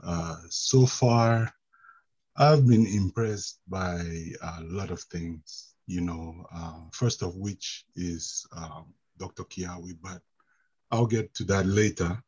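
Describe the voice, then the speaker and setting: A deep, slow-speaking man joins a webinar as a student in an IT program. His voice is a bit husky and the call audio sounds dull, and he pauses often to think. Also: a little mellow. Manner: thoughtful and unhurried, many filler pauses. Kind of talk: webinar participant sharing thoughts. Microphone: video call.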